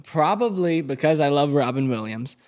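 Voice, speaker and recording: A man's voice: on the high side, animated, moderate pace, a loud crowd. A young man, a guest actor on a casual podcast, talking eagerly with many hesitations. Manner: enthusiastic, hesitant with fillers.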